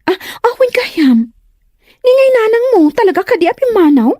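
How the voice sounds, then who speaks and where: A woman's voice: extremely high pitch, sing-song, moderate pace, dark tone, dry recording, studio quality. A woman playing a bubbly, inquisitive best friend of a bride, chatty and gushing in an Ilocano comedy drama.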